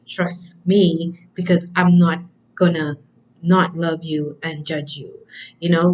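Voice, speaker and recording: Soft-spoken spiritual card reader woman. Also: poor audio. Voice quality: voice sometimes drops low. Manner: gentle, affirming, with frequent ums and soft laughs. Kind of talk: spiritual card reading talk.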